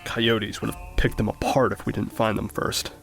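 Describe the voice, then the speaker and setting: A man playing a gravelly weary searcher. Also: on the low side, flat delivery, moderate pace, soft background music. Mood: gruff. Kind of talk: audio drama acting.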